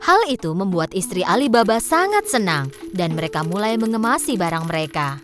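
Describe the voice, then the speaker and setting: A woman's voice: medium pitch, big pitch swings, a little fast, soft background music. A woman narrating a children's storybook tale from a script.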